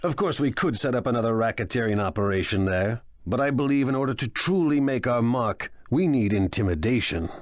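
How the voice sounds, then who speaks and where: An actor playing a proud, ruthless crime lord in a video game, with an imperious, deliberate, menacing delivery. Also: male voice, mid-range voice, lively intonation, no room sound, clean studio sound, natural voice. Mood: scheming. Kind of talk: dramatic video game acting.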